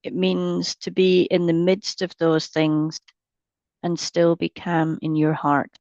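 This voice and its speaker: A woman with a slightly low voice teaches mindfulness in a guided meditation. She speaks very slowly and gently, breaking her sentences into short phrases with long pauses. The recording is dry and a little dull. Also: level tone, dry recording.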